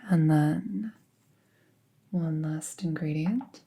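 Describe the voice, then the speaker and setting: A woman playing a friendly apprentice witch in a roleplay audio, speaking softly and breathily, often near a whisper, with an unhurried, casual murmur. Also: deep voice, gravelly, breathy edge, exaggerated voice. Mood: focused, calm. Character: friendly young apprentice witch, soft-spoken and casually chatty. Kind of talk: roleplay audio drama, soft ASMR-like delivery.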